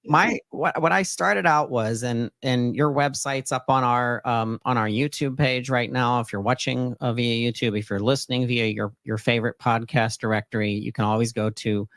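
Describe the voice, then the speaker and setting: A man's voice: mid-range voice, steady tone, normal speed, few pauses, fairly bright tone, no echo. Man hosting a podcast, dry close sound. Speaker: podcast host. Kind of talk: podcast introduction and interview.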